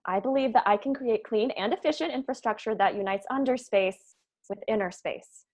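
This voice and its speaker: A woman's voice: a little high, normal speed, a little mellow. A woman playing a brainy, precise young female planner at a comedy table read. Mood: confident.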